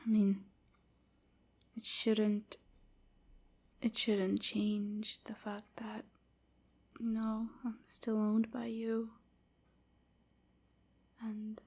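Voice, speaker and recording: A woman playing a timid, submissive vampire slave in an intimate audio roleplay, hesitant and stammering, with a soft, whispery, apologetic delivery. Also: medium pitch, completely flat delivery, extremely slow, pauses often, heavily acted. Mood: submissive, guilty. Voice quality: soft, breathy, often whispery. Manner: hesitant, stammering, hushed and breathy.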